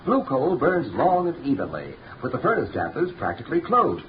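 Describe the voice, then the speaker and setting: A man's voice: mid-range voice, huge pitch swings, moderate pace, lo-fi sound. A male radio announcer reading a 1930s commercial in a polished, persuasive way. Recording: scratchy 1930s radio recording.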